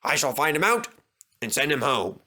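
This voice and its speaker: A man's voice: mid-range voice, very animated, sharp tone, heavily acted. Man playing a stern railway boss. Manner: commanding, deliberate. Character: stern, authoritative male railway controller.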